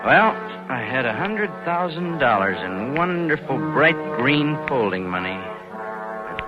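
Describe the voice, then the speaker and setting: A man's voice: medium pitch, very animated, takes it slowly. An American actor playing a cold, scheming embezzler in his thirties, telling his crime in brooding, slangy 1940s radio noir style. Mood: edgy, brooding. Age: 30s. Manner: hard-boiled, slangy, brooding. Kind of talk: 1940s radio noir acting, first-person narration. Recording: muffled old radio broadcast, music behind.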